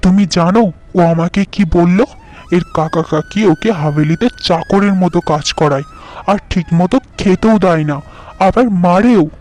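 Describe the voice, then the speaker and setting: Kindly talking monkey for a fairy-tale cartoon, played by a man: reassuring, fairly high male voice. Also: measured pace, a little mellow, faint music behind. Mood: indignant, concerned.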